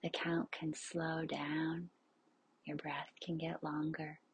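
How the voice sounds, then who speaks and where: Female meditation guide, lowish pitch, extremely slow delivery, hushed and airy, soothing, with spacious pauses. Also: level tone. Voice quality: breathy, hushed, often near a whisper. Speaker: yoga nidra instructor.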